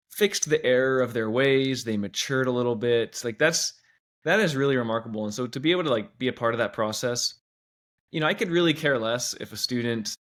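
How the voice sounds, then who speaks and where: A man's voice: mid-range voice, a bit bright. Earnest teacher guy in his 30s, from washington state, talks in restarts and "you know"s. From Washington State, USA. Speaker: teacher and guest. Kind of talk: casual interview answers.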